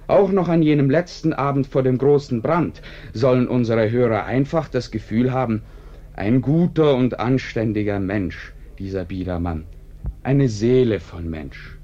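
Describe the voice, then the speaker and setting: An actor playing an urbane author who narrates a radio play with courteous, dry irony in measured phrases. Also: male voice, mid-range voice, warm tone. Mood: courteous, ironic. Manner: courteous, dryly ironic, measured. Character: urbane, ironic male author narrating his own tale. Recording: dull, band-limited radio recording.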